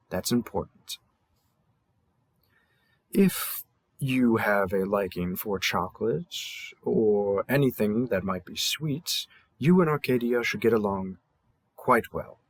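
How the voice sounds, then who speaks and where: A man's voice: lowish voice, fairly bright tone, breathy edge, no echo, full character voice. A man playing a cheerful, polite dating-app host in a scripted audio roleplay, with a courteous, presenter-like delivery. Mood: encouraging. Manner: courteous, measured, salesman-like welcome delivery.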